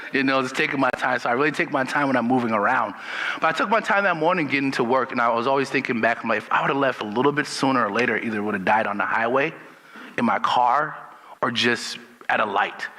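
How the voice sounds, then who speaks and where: A man from Liberia telling a personal story in a relaxed, unhurried storytelling manner. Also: medium pitch, big-room sound, rough recording. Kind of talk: personal testimony.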